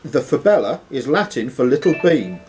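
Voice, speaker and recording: A man's voice: mid-range voice, sing-song, moderate pace, a little mellow. A man working as quizmaster, reading quiz questions aloud to contestants. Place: social club room with players around. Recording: noisy live room recording.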